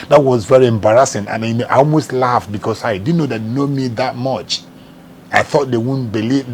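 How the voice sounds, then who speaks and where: A middle-aged man with a Nigerian accent recounts his past in a steady, earnest way. His voice sits low, and a faint hiss runs under it. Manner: earnest, steady storytelling in plain words. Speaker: evangelist and charity founder, interview guest. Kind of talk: telling his life story in an interview.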